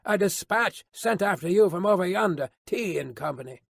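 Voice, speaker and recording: A man voicing a hoarse, blunt messenger on horseback in an audiobook, calling out in terse phrases. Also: high-pitched, expressive, normal speed, dry recording, studio quality, exaggerated voice. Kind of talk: dramatised audiobook reading.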